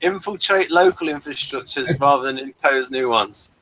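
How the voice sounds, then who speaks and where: A man's voice: mid-range voice, moderate pace, bright, forceful tone, low-quality recording. A man in casual, opinionated discussion, blunt and emphatic, often repeating and restarting his phrases. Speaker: podcast reviewer.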